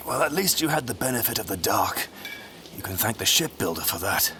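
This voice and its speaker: An actor playing an urbane, sardonic journalist and schemer in an audio drama, droll and theatrical in delivery. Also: male voice, lowish voice, sing-song, moderate pace. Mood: wry, casual. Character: urbane, sardonic male state media journalist, flamboyant schemer. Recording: noisy ambience behind the voice.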